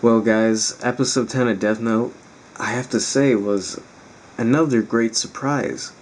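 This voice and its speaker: A man hosting a reaction video, chatting casually and a bit ramblingly to viewers in a strained, raspy voice. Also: mid-range voice, steady tone, a little slow, a little mellow, faint music behind. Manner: rambling, informal. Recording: faint background noise.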